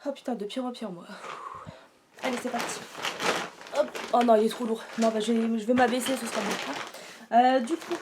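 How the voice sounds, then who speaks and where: A woman's voice: on the high side, steady tone, moderate pace, long pauses, noisy background. A young woman doing a casual shopping haul, out of breath and congested from a sore throat, rambling with lots of fillers. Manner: chatty, rambling, with many fillers and self-corrections. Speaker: haul vlogger.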